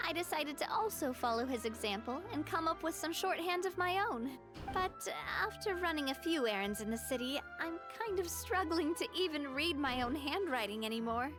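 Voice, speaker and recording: Over loud music comes a high-pitched young woman leader in a video game. She is earnest and dutiful, and speaks formally, with composed, careful articulation. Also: lively intonation, moderate pace. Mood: chatty, self-deprecating.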